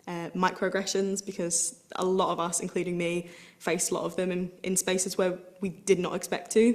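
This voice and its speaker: A woman, speaking earnestly and reflectively in a recorded interview clip about her experience on a program. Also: lowish voice. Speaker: program participant in a video clip.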